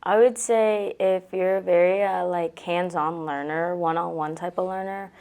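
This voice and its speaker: Young female athlete, low and even delivery, casual interview answers. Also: normal speed, smooth. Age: young adult. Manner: casual, many likes. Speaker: college softball player.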